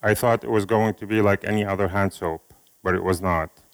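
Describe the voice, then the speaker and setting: A man from Syria whose first language is Arabic, giving a slow, measured reading of a speech and a poem at an event. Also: fairly low pitch, fairly even intonation. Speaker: speaker at an event.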